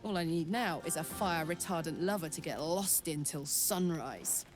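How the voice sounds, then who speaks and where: An actress playing a brash, free-spirited adventurer in a video game, with a blunt and hearty manner. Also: female voice, low voice, moderate pace, close to an everyday voice. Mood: celebratory. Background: music.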